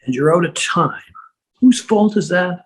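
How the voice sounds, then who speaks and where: A woman playing a smug, condescending male asylum director with a measured, husky voice in a drama table read. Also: on the low side, animated. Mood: politely dismissive.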